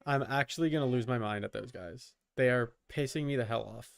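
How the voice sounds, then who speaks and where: A man's voice: mid-range voice, fairly quick, vocal fry. A man giving casual live gaming commentary as a streamer, informal, with muttered asides and mild swearing. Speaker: video game streamer.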